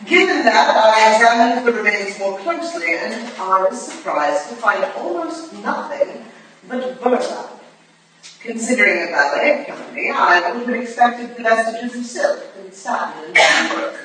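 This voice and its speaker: A woman's voice: a little high, big pitch swings, measured pace, a bit bright. A woman playing a shrewd, formal older female detective in a staged reading of a Victorian drama, with a clipped, imperious manner. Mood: coolly analytical. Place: live staged reading in a roomy indoor venue. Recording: echoey hall from far off, noisy.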